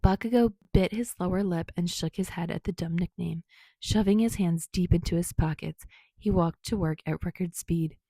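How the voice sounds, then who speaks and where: Woman reading a story out loud, lowish raspy voice w lots of fry, very dry recording. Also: moderate pace, dry recording. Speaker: amateur audiobook narrator. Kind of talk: scripted story narration.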